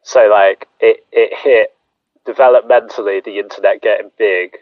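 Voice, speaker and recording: A man's voice: fairly low pitch, steady tone, moderate pace, dry recording. A man, chatting in a rambling, self-deprecating way full of fillers. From England, near Sheffield. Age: 30s. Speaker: podcast guest. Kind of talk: casual chat. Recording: dull lo-fi sound.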